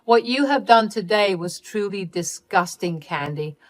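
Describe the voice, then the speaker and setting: A woman's voice: mid-range voice, expressive, a little slow, no room sound, close to an everyday voice. A woman voicing a strict older mother who speaks in a cutting, scornful way.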